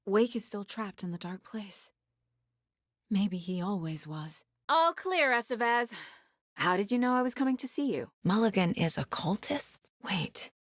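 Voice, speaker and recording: A woman's voice: mid-range voice, fairly bright tone, no room sound, clean studio sound. A woman playing a serious, determined FBI agent in a video game, often musing to herself as she investigates. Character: determined, level-headed female FBI agent investigating supernatural horror. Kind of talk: dramatic video game voice lines.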